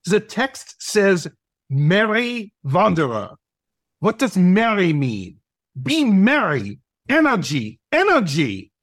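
A man's voice: high-pitched, very animated, takes it slowly, a bit bright, dry recording, clean studio sound, exaggerated voice. A man playing a pompous, irritable dog who runs an acting class, with a German accent and a bossy, exasperated manner, in an audio play. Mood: exasperated, angry. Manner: barking commands, pompous, exasperated.

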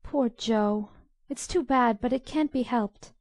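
Woman playing mellow, gentle peacemaking young girl. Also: a little high, slow, dry recording, voice pushed up. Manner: soft, earnest, soothing. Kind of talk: audiobook character acting.

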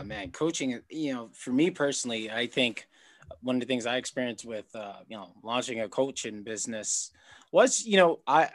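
Man, slightly high pitch, casual interview chat, rambling, repeats himself. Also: a bit bright. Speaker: podcast host.

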